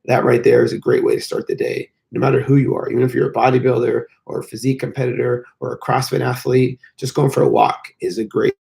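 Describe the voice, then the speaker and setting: Hoarse gravelly man on a live stream. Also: mid-range voice, little pitch movement, quick pace, hardly any pauses, a little mellow. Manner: rambling, frequent fillers. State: hoarse, losing his voice. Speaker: fitness coach. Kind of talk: casual live-stream talk.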